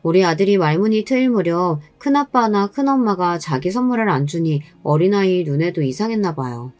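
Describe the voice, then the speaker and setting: A woman's voice: lowish voice, lively intonation, fairly quick, a little mellow, dry recording, faint music behind. A woman reading a scripted first-person story as its narrator.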